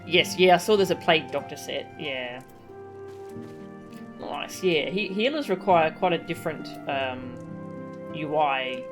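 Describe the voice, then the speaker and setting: A woman's voice: sits low, animated, unhurried, long pauses. A woman streaming a game, giving casual commentary and chatting with her viewers. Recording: game music behind the voice.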